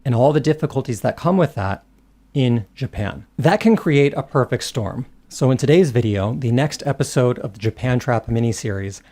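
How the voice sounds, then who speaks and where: A man reading scripted video essay narration in a measured, articulate way, with long, carefully built sentences. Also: mid-range voice, animated, warm tone.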